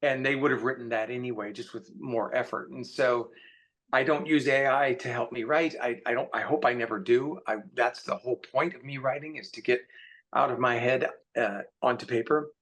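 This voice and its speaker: A man's voice: medium pitch, fairly even intonation, moderate pace, a bit bright. A man speaking as a guest author in a casual panel conversation, earnest and opinionated as he explains his views.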